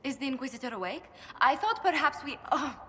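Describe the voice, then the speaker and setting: An actress voicing a polite, flustered court diplomat in a video game, prim and courteous. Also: female voice, high-pitched, lively intonation, a little fast, sharp tone. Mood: startled.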